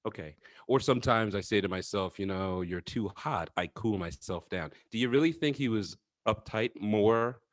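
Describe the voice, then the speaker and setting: Male co-host, lower voice, playful and quick to laugh, dry recording. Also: no room sound. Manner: jokey, laughs often. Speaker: podcast co-host. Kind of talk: casual chat.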